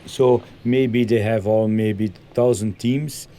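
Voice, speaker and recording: A man from Belgium, a football coach, answering interview questions in halting full of ums and loose grammar. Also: lowish voice, steady tone, warm tone.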